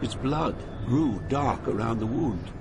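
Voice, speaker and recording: A man playing a poet who narrates his journey through hell in an audio drama, grave and deliberate. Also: mid-range voice, lively intonation, noisy background. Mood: haunted. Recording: music behind the narration.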